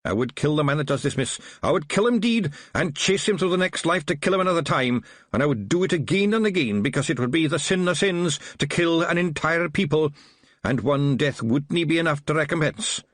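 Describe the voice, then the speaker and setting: A man's voice: fairly quick, no room sound, studio quality. A man voicing a tiny, fierce, long-winded male pictsie policeman with a put-on Scottish accent, in a storybook reading. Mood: outraged. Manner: excitable, high, loud and long-winded.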